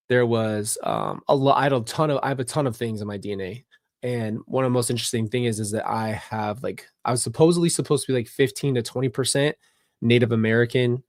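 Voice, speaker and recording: A man giving a personal, somber commentary on a livestream, speaking haltingly and often repeating phrases. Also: medium pitch, no room sound, studio quality. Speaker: documentary reaction host.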